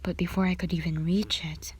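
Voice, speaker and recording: A woman narrating a scripted fan fiction story in ASMR style, breathy and often half-whispered. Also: fairly low pitch, level tone, brisk, a little mellow.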